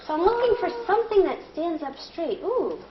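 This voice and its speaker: A woman leading a read-aloud lesson as a primary teacher, deliberate and instructive, thinking out loud. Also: very high voice, big pitch swings. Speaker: primary school teacher reading aloud to a class. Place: classroom. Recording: muffled classroom recording with clear background noise.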